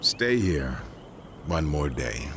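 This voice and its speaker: A very deep, slow, commanding king. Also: big pitch swings, gravelly, noisy background, heavily acted. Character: commanding king of ancient Israel, grave and measured. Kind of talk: dramatized scripture acting. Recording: loud music bed behind voice.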